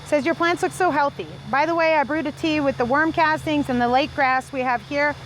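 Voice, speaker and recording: A woman's voice: sits high, fairly even intonation, a little fast, a little hiss or hum, low-quality recording. Chatty upbeat woman from New Zealand. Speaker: farm owner and channel host. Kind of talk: casual Q&A, reading viewer comments.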